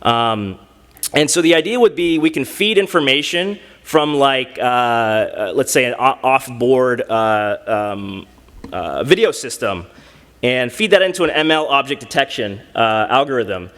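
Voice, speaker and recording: Guy pitching a hackathon demo on stage, lots of ums, echoey conference hall. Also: animated, fairly bright tone. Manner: halting, full of fillers and restarts. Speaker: hackathon team presenter. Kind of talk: live pitch presentation. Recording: long reverb from a hall.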